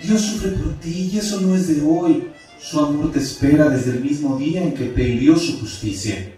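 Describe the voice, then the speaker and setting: A man's voice: on the high side, moderate pace, mellow, lightly acted. A man acting as God's angelic messenger in a radio play, solemn and pleading, with declamatory, preaching speech. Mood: earnest, reproving. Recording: very echoey, distant, music underneath.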